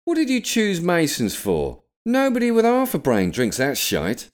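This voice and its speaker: A man voicing an angry, scornful, shouting pub customer in a narrated story. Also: on the high side, huge pitch swings, a little slow, voice pushed up. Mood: indignant. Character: angry smartly dressed man scorning a beer choice.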